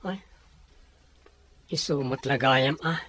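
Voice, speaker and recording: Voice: medium pitch, takes it slowly, a bit bright. An actor playing a concerned, respectful young son in an Ilocano audio drama, full of questions. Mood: surprised. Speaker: voice actor in a radio-style drama. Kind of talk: Ilocano radio drama acting.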